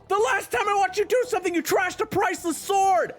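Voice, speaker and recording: A woman's voice: very high-pitched, a little fast, soft background music. A woman playing a cocky, hot-headed and insecure young swordsman in a fantasy audio drama, with a brash, indignant delivery. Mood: outraged. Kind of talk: fantasy audio roleplay acting.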